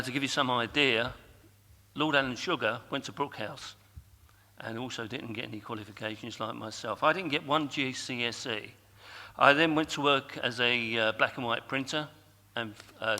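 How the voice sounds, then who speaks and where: A man's voice: medium pitch, bright, forceful tone. A man in his sixties giving a boastful hustings speech as an election candidate, listing his achievements. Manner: boastful, self-promoting. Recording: echoey hall with long reverb.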